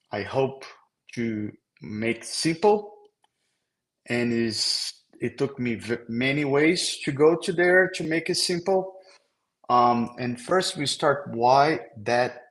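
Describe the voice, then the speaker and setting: An older man from Brazil with a Brazilian Portuguese accent, giving a relaxed online technical presentation, with halting phrases and fillers. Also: on the low side. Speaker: trainer.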